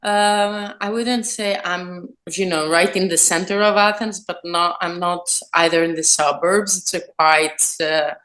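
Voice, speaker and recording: A woman's voice: fairly low pitch, fairly even intonation, measured pace, few pauses, a bit bright. A woman from Greece, a yarn dyer, chatting as a guest in a casual video-call interview from her studio, with many fillers and restarts. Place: home studio.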